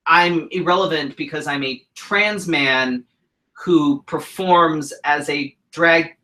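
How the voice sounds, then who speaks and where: Blunt, profane man with a bright voice. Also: on the high side, slow pace. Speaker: co-host, drag king performer. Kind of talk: heated casual chat.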